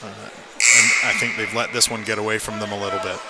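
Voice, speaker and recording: A man giving measured, opinionated color commentary on a live radio hockey broadcast. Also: lowish voice, little pitch movement, gravelly. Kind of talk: live radio sports analysis. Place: indoor hockey arena. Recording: noisy live arena broadcast. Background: loud rink ambience.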